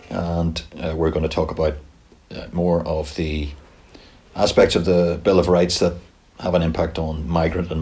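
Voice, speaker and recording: A man's voice: sits low, darkish tone. A man hosting a podcast interview, hesitant and full of fillers. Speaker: podcast host.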